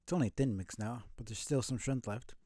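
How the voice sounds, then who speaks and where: Game bug, played by a man: jaded and scornful, folksy blunt talk, dark mellow tone. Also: medium pitch, quick pace, natural voice. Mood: suspicious, probing. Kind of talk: video game dialogue read aloud.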